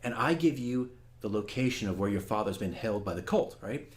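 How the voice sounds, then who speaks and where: A man's voice: on the low side, lively intonation, normal speed. Candid man reflecting as a dungeon master. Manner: earnest, self-critical, conversational. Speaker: dungeon master giving a campaign diary. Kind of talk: candid casual monologue to viewers.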